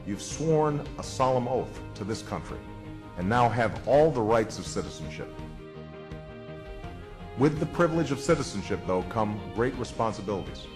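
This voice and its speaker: A man delivering a measured, solemn prepared address to new citizens. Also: lowish voice.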